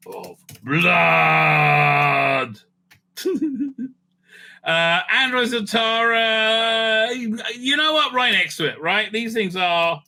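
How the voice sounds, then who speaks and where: Male host, very bright and forceful, slightly high voice; animated casual commentary, thinking out loud. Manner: animated, thinks aloud with frequent asides. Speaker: host ranking TV serials.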